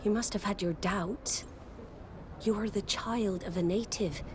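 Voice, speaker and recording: An actress playing a commanding, solemn female admiral in a video game, speaking formally and deliberately. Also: mid-range voice, background noise, lightly acted. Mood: solemn, authoritative. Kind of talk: dramatic game dialogue.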